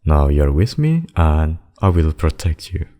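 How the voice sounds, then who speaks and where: Man acting as very deep, devoted demon king. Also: big pitch swings, dark tone, heavily acted. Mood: protective, soothing. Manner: slow, formal, doting speech with endearments. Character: devoted, protective demon king, tender male lover. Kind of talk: intimate ASMR roleplay acting.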